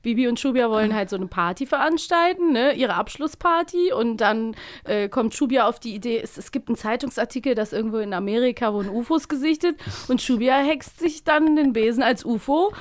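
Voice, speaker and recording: A woman with a fairly high voice hosts a podcast. She talks in a casual, conversational style. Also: animated, brisk, few pauses. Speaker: podcast host.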